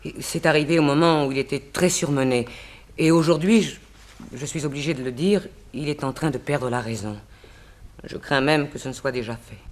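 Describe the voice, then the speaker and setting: A woman's voice: deep voice, moderate pace, natural voice. A plaintive young wife from an old French radio drama, played by a woman. She is polite and pleading, with small theatrical hesitations as she confides her worries. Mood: plaintive, worried. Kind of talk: 1960s French radio serial acting.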